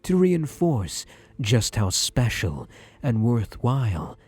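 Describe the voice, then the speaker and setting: A man playing a possessive, doting therapist in an ASMR roleplay, speaking in a soothing, deliberate, hushed way with breathy murmurs. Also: mid-range voice, wildly swooping pitch, soft background music, heavily acted. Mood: reassuring, possessive. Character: possessive male therapist, softly controlling, doting and obsessive.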